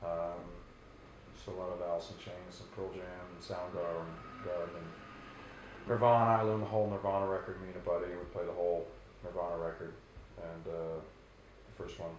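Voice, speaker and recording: Brief: deep-voiced male rock singer, loose rambling answers, roomy echo. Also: normal speed, slight background noise. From Canada. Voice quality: very low bass voice. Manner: relaxed, rambling, many restarts and fillers. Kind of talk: casual interview answers.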